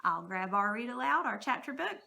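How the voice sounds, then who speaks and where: A woman's voice: fairly high pitch, big pitch swings, a little fast, creaky, sharp tone. A woman speaking as a teacher, giving informal, encouraging and reassuring advice to parents in a plain-spoken, folksy way.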